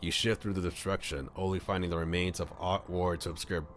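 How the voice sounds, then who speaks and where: A man's voice: low voice, level tone, brisk, fairly bright tone, slight background noise. A man reading a visual novel aloud as a streamer, mixing scripted narration with casual asides. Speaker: streamer, VTuber. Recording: music behind the voice. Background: background music.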